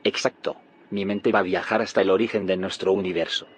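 An actor playing a grave, secretive scientist in an audio drama, solemn and explanatory. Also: male voice, low voice, steady tone, a little fast, husky. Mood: resolute. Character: grave, secretive male scientist haunted by failed timelines. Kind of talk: audio fiction drama acting.

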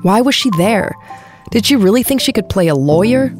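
A woman reading scripted documentary narration in a measured, even way. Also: medium pitch. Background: music bed.

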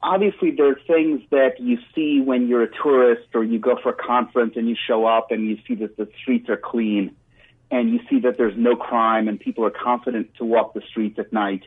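A man with a husky voice speaks as a casual interview guest and commentator. He is halting, repeating words as he thinks, over a narrow, phone-like connection. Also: mid-range voice, fairly even intonation. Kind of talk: casual spontaneous interview chat. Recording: muffled, band-limited remote line.

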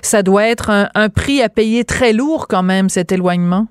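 A woman's voice: mid-range voice, moderate pace, clear, resonant tone, dry recording, studio quality. A woman hosting a radio interview, asking questions with frequent fillers. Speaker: radio host. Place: radio studio.

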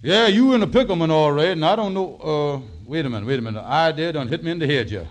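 A man's voice: a little high, lively intonation, fast, lightly acted. An actor playing a blustering, wheedling con man with folksy ungrammatical speech in a 1940s radio comedy. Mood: scheming. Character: scheming, fast-talking male lodge boss and con man. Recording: vintage 1940s radio broadcast.

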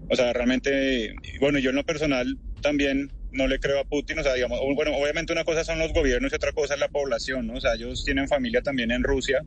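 A man from Colombia with a lightly worn accent speaks by phone from a car. He answers calmly and thoughtfully, leaning on little fillers as he goes. A faint ambient noise sits behind his voice. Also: medium pitch, fast, few pauses, low-quality recording. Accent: Colombian Spanish, lightly worn. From Colombia (Barranquilla). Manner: thoughtful, many fillers like "pues" and "o sea". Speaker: interviewee, translator in Ukraine. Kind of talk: phone interview while driving.

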